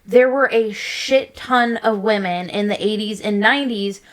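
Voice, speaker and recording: A woman's voice: medium pitch, measured pace. A woman co-hosting a podcast in casual chat, blunt and informal in the way she talks. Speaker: podcast co-host.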